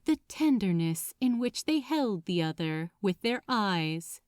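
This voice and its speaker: A woman narrating a story expressively, with deliberate, dramatic phrasing. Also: medium pitch, big pitch swings, darkish tone, clean tone, no room sound, studio quality.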